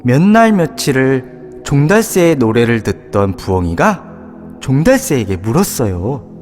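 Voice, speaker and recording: A man narrating a children's storybook in an expressive, drawn-out way. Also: mid-range voice. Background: background music.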